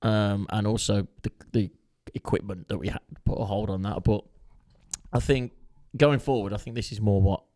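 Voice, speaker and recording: Joking, swearing podcast co-host. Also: male voice, fairly low pitch, steady tone, moderate pace, mellow. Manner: joking, swears casually, trails off mid-thought. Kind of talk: casual chat.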